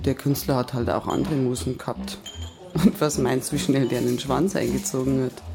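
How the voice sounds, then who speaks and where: A woman's voice: rumbling low, steady tone, normal speed, vocal fry. An actress playing a gossipy, coarse working-class Munich woman with a Bavarian accent, chatting in a radio play. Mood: mocking, earthy. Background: music, guitar.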